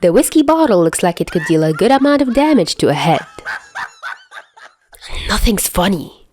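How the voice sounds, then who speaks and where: A woman reading a story aloud as an expressive fiction narrator. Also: medium pitch, quick pace, slight background noise.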